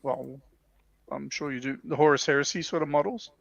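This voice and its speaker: A man's voice: medium pitch, steady tone, brisk, vocal fry, no echo, lo-fi sound. A man hosting a casual hobby livestream chat, talking hesitantly and restarting his phrases. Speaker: livestream host.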